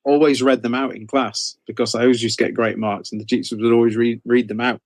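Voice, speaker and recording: A man's voice: mid-range voice, flat delivery, quick pace, a little mellow, no echo. A middle-aged British man telling stories about himself as an interview guest, in a casual, modest, rambling conversational way. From United Kingdom.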